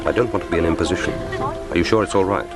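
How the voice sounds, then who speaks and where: An actor playing a polite, adventurous secret agent in a radio drama, with a wry, understated manner. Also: male voice, sits low, rapid-fire, over loud music, lightly acted. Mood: courteous, wry.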